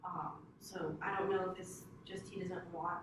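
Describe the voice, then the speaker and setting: A woman whose first language is Tagalog, answering questions about her husband in a clinical interview, hesitant and soft-spoken with many fillers. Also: on the low side, flat delivery, moderate pace. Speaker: client's wife in case history interview. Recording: echoey room, distant mic, audible noise.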